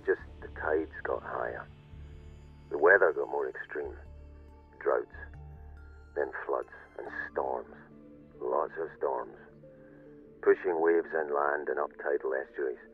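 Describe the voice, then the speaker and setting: Very deep-voiced, weary security corporal. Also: male voice, creaky, narrow, tinny audio, soft background music, full character voice. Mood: somber, reflective. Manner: halting, rueful, confiding, with pauses and self-corrections. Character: weary, cynical male security corporal, survivor of flooded world. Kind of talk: in-character audio diary monologue, dramatic game acting.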